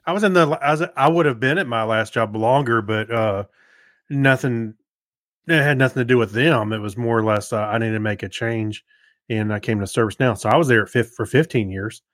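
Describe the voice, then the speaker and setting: A middle-aged man co-hosting a podcast, chatting casually in a halting, rambling way. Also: mid-range voice, a bit bright, no echo, clean studio sound. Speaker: podcast co-host. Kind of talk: casual chat.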